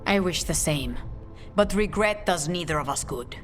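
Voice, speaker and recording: An actress playing a composed, shrewd crime-guild leader in a video game, speaking in measured, formal phrases. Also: female voice, lowish voice, expressive, natural voice. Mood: grave resolve. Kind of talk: video game cutscene acting. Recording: music behind the voice.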